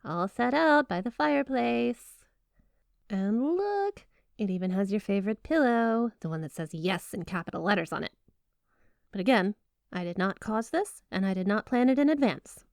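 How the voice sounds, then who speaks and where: A woman's voice: medium pitch, lively intonation, moderate pace, creaky, dry recording, clean studio sound. A woman playing a flirty, possessive snow spirit wife in a roleplay ASMR monologue, coy and teasing, doting on her listener with feigned innocence. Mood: coy, mock-offended.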